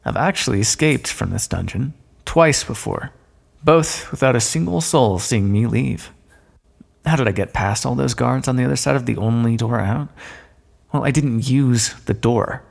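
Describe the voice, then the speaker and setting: Man acting as sly gloating male thief, gravelly, mid-pitched, mocking courtly manner, theatrical audio roleplay, drawn-out emphasis. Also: full character voice. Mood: boastful, sly. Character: smug, sardonic male thief, gloating at a fallen monarch. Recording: long echoing reverb.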